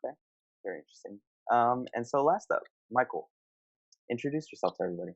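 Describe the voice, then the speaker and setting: A man's voice: medium pitch, expressive, quick pace, creaky. A man casually hosting an online gaming panel, asking informal questions and affirming his guests' answers, on a dull voice-call recording. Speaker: host of a gaming discussion panel. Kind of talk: casual moderated online discussion.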